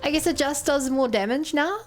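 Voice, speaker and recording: A chatty woman with a New Zealand accent, playing a game on stream and thinking aloud as she answers her chat. Her voice sits a little high, with faint game music under it. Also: brisk. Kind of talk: casual gameplay commentary.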